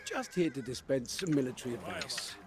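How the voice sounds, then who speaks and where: Man as a measured, mid-pitched military advisor. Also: sing-song, natural voice. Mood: composed, advisory. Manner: formal, counselling. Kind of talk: video game dramatic acting.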